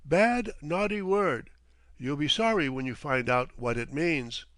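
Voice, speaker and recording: A man voicing a gruff, mocking sea captain in an audiobook, with a Cockney accent, dropped aitches and a needling, sardonic way of teasing. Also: on the high side, unhurried, fairly bright tone, dry recording. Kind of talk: audiobook character voice.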